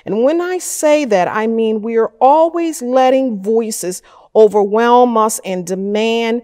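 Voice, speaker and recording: Slow, measured woman preaching in a church. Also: a little high, darkish tone. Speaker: pastor. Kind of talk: sermon. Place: wood-paneled church chancel, at a lectern. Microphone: fixed camera at a distance. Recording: church with a long reverb tail.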